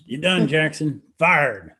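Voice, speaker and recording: A man's voice: on the high side, lively intonation, slow, bright, forceful tone, breathy edge, exaggerated voice. A man acting a wry hill-country brother swapping stories in a stage play, with an Appalachian accent. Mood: grim retelling. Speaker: actor in a one-act play. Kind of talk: stage play dialogue, reminiscing.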